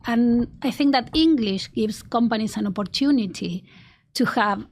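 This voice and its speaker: Argentine-accented woman, higher voice. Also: normal speed. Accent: Argentine Spanish. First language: Spanish. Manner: earnest, with frequent restarts and soft laughs. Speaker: marketing executive guest. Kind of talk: interview answers.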